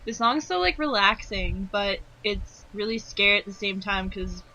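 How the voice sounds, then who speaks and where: A woman's voice: medium pitch, normal speed, bright, forceful tone, slight background noise, rough recording. A woman giving casual commentary as a fandub sound editor among her group.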